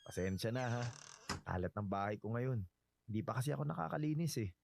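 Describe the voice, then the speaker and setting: A man's voice: medium pitch, lively intonation, fast talker, gravelly, a little mellow, slight background noise. A man with a Filipino accent playing a devoted suitor in love in a romantic radio drama, earnest and emotive. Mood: friendly, casual.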